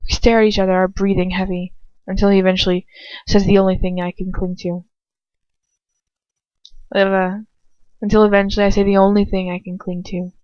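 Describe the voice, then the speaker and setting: A woman's voice: mid-range voice, level tone, fairly quick, darkish tone, smooth, no echo, dull audio. A woman reading first-person fiction aloud as an amateur narrator, in a dramatic style. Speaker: amateur audiobook reader.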